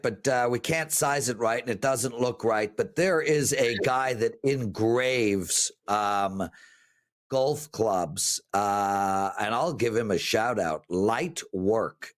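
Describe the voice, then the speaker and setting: A Canadian man hosting a sports betting show, joking and rambling in casual chat, with a raspy voice. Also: fairly low pitch. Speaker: show host.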